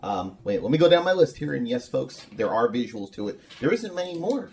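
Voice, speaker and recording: A man's voice: medium pitch, big pitch swings, fairly quick, vocal fry, a little mellow. A man hosting a casual interview, talking in an informal, enthusiastic way. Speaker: interviewer, podcast host. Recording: noticeable room background noise.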